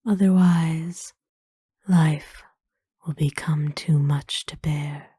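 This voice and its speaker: A woman voicing a kind, ancient aristocratic vampire lady in a whispered ASMR roleplay, speaking softly with formal, soothing, wistful phrasing. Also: deep voice, steady tone, drawn-out words, no echo, clean studio sound, heavily acted. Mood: wistful, reflective. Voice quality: soft, breathy whisper.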